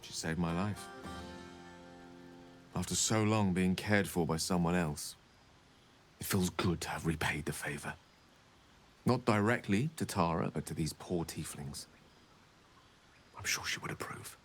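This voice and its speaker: An actor playing a wistful, scholarly and self-deprecating wizard in a video game, speaking in florid, theatrical phrases. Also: male voice, deep voice, measured pace, long pauses, faint music behind. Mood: confessional, rueful. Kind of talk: video game character dialogue.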